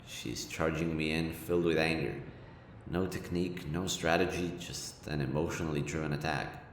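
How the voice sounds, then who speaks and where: A man's voice: rumbling low, steady tone, normal speed, noisy background. A man playing a smug, sardonic city supervillain with a caring streak, speaking to the listener in a casual, sweary audio roleplay. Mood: subdued, respectful. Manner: taunting, casual, sweary, theatrical.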